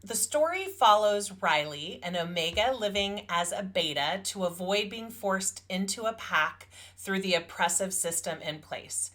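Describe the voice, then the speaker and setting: A woman's voice: medium pitch, animated, a little slow, hardly any pauses, a bit bright. A woman co-hosting a podcast, talking about books in an enthusiastic, informal way. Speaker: podcast co-host. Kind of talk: casual book review chat.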